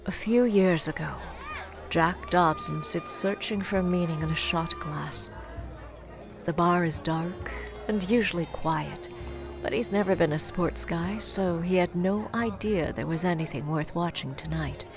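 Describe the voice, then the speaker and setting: A grave, brooding storyteller narrates an audio drama in a lowish female voice. The scripted lines are dramatic, with clear music underneath. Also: unhurried. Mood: somber, measured.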